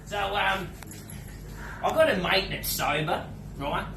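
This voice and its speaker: A man's voice: high voice, lively intonation, slow, creaky. Australian male comedian, bawdy stand-up, off-mic, noisy echoing room. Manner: crude, laughs at his own jokes. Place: comedy club stage. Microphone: away from the mic, unamplified. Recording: echoey room, distant, noisy.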